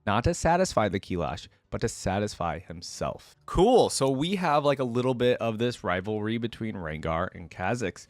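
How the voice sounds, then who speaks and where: A man with a fairly low voice hosts a reaction video in a casual, conversational way. He moves between reading text aloud and dropping in his own asides. The sound is very dry and close, with no room echo. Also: very animated, normal speed, hardly any pauses.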